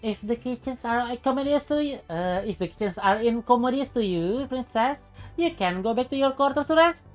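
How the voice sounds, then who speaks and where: A woman's voice: fairly high pitch, expressive, a little fast, voice pushed up, close to an everyday voice. An attentive young lady-in-waiting , played by a woman reading a visual novel. She speaks in a raised voice, formal and deferential toward her princess, with music underneath. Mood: solicitous.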